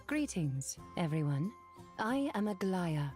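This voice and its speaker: A woman voicing a poised, solemn, elegant noblewoman in a video game, speaking in a measured, formal, breathy way. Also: fairly low pitch, very animated, a little mellow, faint music behind. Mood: poised, gracious. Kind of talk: video game character performance.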